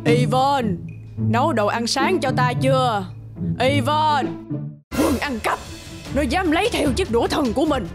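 A woman's voice: sits high, a little slow, over loud music. A woman voicing a cruel, commanding old witch in a fairy-tale cartoon. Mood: angry. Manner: commanding, scolding. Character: cruel old witch, bossy guardian of a captive girl.

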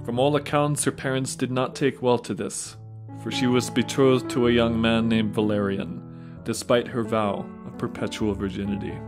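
Man, medium pitch, scripted storytelling narration, saint's life, guitar music underneath. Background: plucked guitar music.

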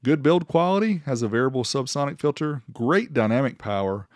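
A man's voice: medium pitch, expressive, brisk, no room sound, clean studio sound. A man giving an informal, plainspoken review voice-over as the host of a product channel. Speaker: host reviewing car audio amplifiers.

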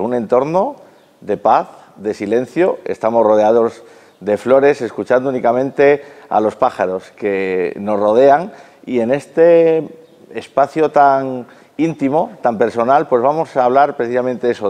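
A man in his forties interviewing in a cloister garden with a lapel mic, in a smooth, measured presenter's manner. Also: mid-range voice, dark tone, big-room sound. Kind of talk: broadcast interview. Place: monastery cloister garden outdoors.

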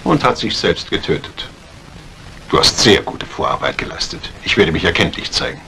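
An actor playing a calculating, outwardly composed doctor villain in a 1950s German radio crime drama, speaking in a measured way. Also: male voice, sits low, very animated, gravelly, pushed and bright, full character voice. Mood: menacing. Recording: old radio play recording, faint hiss.

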